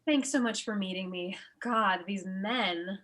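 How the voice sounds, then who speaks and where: A woman's voice: medium pitch, unhurried, a bit bright. A woman playing a sensible, disapproving young girlfriend in a comedy script table read, earnest and reproachful.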